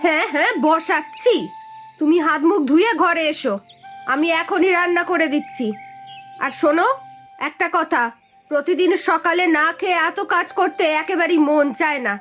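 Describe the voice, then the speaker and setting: A woman voicing a nagging, sharp-tongued village housewife in a cartoon story, complaining and sarcastic. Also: very high voice, normal speed, soft background music. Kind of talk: Bengali cartoon story voice acting.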